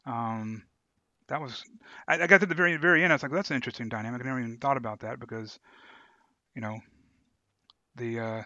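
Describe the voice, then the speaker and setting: A man with a bright, forceful voice of medium pitch chats about a book as a podcast co-host. He is hesitant, often searching for words. The recording is dry and a little dull. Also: fast, pauses often, dry recording. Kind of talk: casual book discussion.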